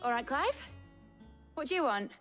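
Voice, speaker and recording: An actress playing a brash, enthusiastic young engineer in a game cutscene, with a Northern English accent and colloquial, boastful delivery. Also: female voice, high voice, sing-song, normal speed, a bit bright. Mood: busy, brusque. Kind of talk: lively game cutscene acting. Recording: music behind the voice.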